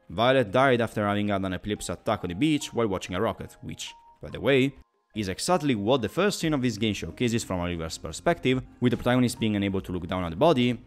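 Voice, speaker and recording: A man delivering a scripted video game review in an explanatory, opinionated way. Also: on the low side.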